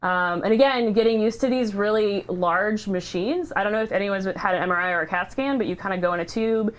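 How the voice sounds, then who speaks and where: A woman speaking casually and earnestly, in halting, unscripted phrases, as a livestream host sharing a personal story. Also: mid-range voice. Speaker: charity livestream host sharing her cancer treatment story. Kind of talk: casual, heartfelt unscripted talk.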